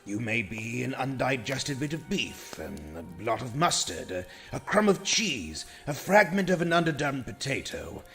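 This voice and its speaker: An actor playing a miserly old man, gruff and scoffing. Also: medium pitch, sing-song, unhurried, few pauses, fairly bright tone, exaggerated voice. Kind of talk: radio play acting. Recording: echoey hall reverb with faint music.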